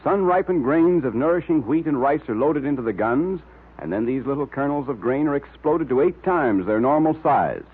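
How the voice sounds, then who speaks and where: Animated, husky man announcing on old radio. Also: mid-range voice, normal speed. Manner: emphatic, salesmanlike. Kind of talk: 1940s radio advertising and scripted narration. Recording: tinny vintage radio recording.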